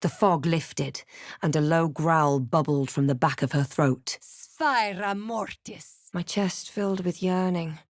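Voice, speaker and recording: Casting: a wry, watchful female adventurer for a fantasy game, measured dramatic delivery, dry studio sound. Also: low-pitched, no echo. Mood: incanting spells. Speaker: player character voice in a fantasy role-playing game. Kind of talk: scripted game voice lines and narration.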